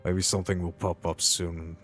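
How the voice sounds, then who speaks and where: A man voicing an earnest, reassuring ex-mercenary adventurer in a video game roleplay, speaking in a halting, deliberate way over music. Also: deep voice, level tone, close to an everyday voice. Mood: musing.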